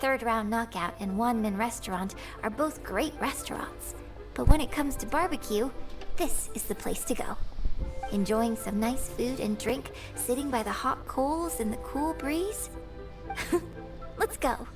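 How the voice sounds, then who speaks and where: A high-voiced young female opera star in a video game, composed and courteous. Her phrasing is measured and refined, heard a little off the mic over a clear music bed. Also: recorded from a distance. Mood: relaxed, pleased.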